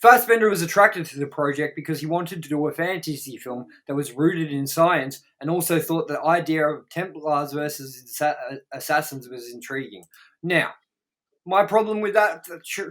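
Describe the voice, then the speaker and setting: A man's voice: fairly high pitch, fairly bright tone. A man hosting a casual, sweary movie review show, talking in a sarcastic, exasperated way.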